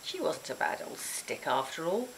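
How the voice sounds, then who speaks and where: A woman reads a children's story with dramatic emphasis. Their voice is fairly low and moves up and down in a lively way, with a faint hiss on the recording. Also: moderate pace, low-quality recording. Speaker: author reading their own book. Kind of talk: expressive children's storybook narration.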